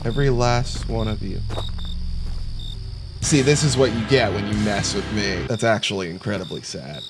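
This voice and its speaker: A man's voice: lowish voice, normal speed, over loud music. A man giving casual let's play commentary on a horror game, sarcastic and jokey as he mutters to himself. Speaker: gaming content creator. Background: horror game sounds of crickets and insects.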